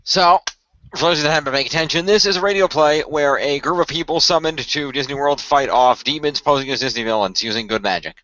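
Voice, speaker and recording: A man's voice: a little high, fairly quick, fairly bright tone, dry recording. A man hosting and narrating an amateur radio play in an informal podcast style. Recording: dry, dull lo-fi voice track.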